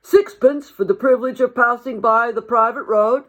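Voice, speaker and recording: A woman's voice: a little high, moderate pace, pitched above the performer's own voice, natural voice. A woman voicing an officious elderly rabbit in a storybook, peremptory and pompous.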